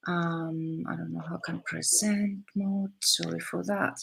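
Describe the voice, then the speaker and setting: Woman host for a live video-call Q&A, bright tone, chatty and encouraging. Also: on the low side, steady tone, a little slow, gravelly, husky. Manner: chatty, warm praise, frequent laughs. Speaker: business coach hosting a live Q&A. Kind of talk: casual live-stream interview.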